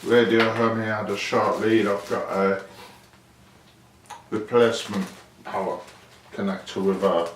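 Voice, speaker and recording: A man's voice: lowish voice, fairly even intonation, unhurried, pauses often. A man giving a casual, unscripted hands-on explanation as a DIY vlogger, rambling and thinking aloud as he goes. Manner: rambling, thinking aloud, asks himself questions. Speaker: DIY vlogger presenting his project. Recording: roomy, noisy, distant mic with clicks.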